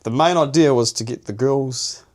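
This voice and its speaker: A man's voice: medium pitch, normal speed, darkish tone. A young New Zealand man co-hosting a podcast, chatting casually and animatedly, laughing often and talking in slang. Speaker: podcast co-host. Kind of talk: casual chat.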